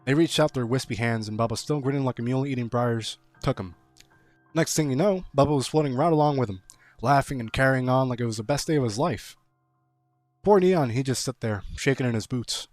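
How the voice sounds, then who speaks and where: A man's voice: mid-range voice, darkish tone, dry recording, close to an everyday voice. Man reading as a folksy yarn-spinner over faint music. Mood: folksy, animated. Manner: folksy, playful, drawn-out similes. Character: folksy rural storyteller spinning a tall spooky yarn. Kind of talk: storytelling read aloud.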